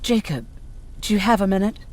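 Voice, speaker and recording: Game role: actor as friendly, wistful shelter civilian, mid-pitched, softly confiding and hesitant. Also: expressive, fairly quick, lightly acted. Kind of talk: video game voice acting.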